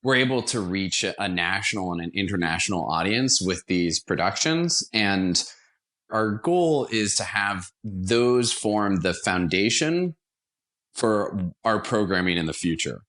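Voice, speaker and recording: A man's voice: low-pitched, expressive, unhurried, fairly bright tone. An American man giving earnest, conversational interview answers as a guest, repeating words for emphasis. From Washington, D.C., United States. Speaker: guest, theater company founder.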